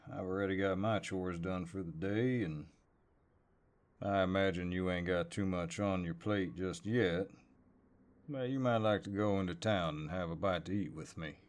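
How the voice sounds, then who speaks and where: A man playing a flirtatious, easygoing cowboy neighbor with a deep voice and a folksy American Southern drawl, in an intimate roleplay audio. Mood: amused, bashful. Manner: folksy drawl, playful and unhurried.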